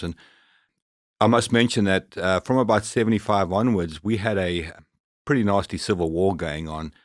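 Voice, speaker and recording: A middle-aged man with a deep voice and a Zimbabwean accent talks as a podcast guest. He tells his story conversationally, in a very dry recording. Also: no room sound. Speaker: podcast guest, professional hunter.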